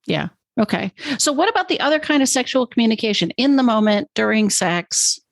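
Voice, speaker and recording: A woman's voice: on the high side, animated, a little fast, creaky, dry recording. A woman hosting an interview, attentive and giving short affirmations while she asks questions. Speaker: host.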